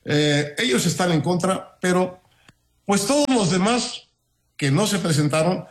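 A man's voice: high-pitched, animated, measured pace. An older man with a Mexican accent, a weekly guest commentator who has the flu, giving sardonic political commentary with frequent hesitant fillers.

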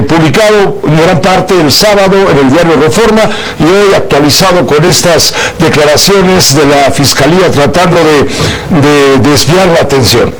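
A man hosting a radio interview, with a slightly raised pitch. He asks brisk, direct questions, and a faint hiss of noise sits behind his voice. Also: poor audio.

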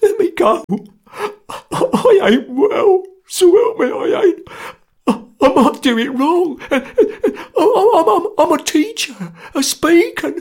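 A terrified young Cockney man, pitched far above a normal male voice. He is breathy and squeaky, swooping as he stammers and pleads. He is a whining coward in an audio story. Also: heavily acted.

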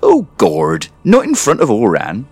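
A man's voice: mid-range voice, huge pitch swings, unhurried, darkish tone, husky, soft background music, pitched above the performer's own voice, heavily acted. A man voicing a fussy wooden mother creature who talks in a warbling, sing-song way. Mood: chiding.

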